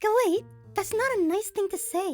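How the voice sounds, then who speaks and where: Woman playing a very high-pitched, shockable nurse puppet. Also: a little fast, soft background music. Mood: puzzled, uneasy. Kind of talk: comedic puppet show dialogue.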